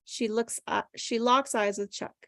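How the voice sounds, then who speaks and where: A woman's voice: on the high side, fairly even intonation, unhurried, sharp tone, no room sound, studio quality. A woman reading stage directions aloud from a screenplay at a table read.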